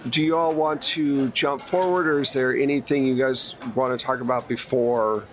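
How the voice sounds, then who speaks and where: A man's voice: mid-range voice, fairly even intonation, moderate pace. A man running a tabletop game as game master, narrating casually and informally with joking asides. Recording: clear background noise.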